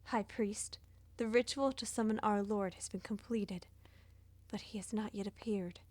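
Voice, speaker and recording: An actress playing an earnest, troubled follower of a cult in a fantasy audio drama, speaking deferentially. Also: female voice, medium pitch, fairly even intonation, a little slow, darkish tone, dry recording, natural voice. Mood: anxious, earnest.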